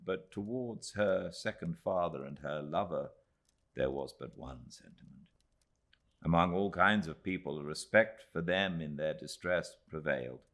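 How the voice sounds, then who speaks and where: A British man reading a literary audiobook in measured, dramatic phrases with deliberate pauses. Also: extremely low pitch. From Britain. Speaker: audiobook narrator.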